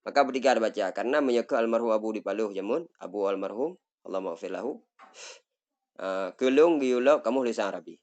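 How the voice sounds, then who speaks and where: A man's voice: lowish voice, darkish tone, highs cut off. Acehnese man teaching from an Arabic text. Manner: reads Arabic phrases slowly, then glosses them in Malay-Indonesian. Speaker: religious teacher. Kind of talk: kitab study lesson, reading Arabic text and explaining.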